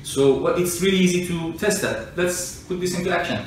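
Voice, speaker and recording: A man's voice: sits high, a little fast. A man explaining informally to a listener in an argumentative way, working through everyday examples. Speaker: explainer, self-described engineer and scientist. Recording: echoey room, distant mic, faint noise.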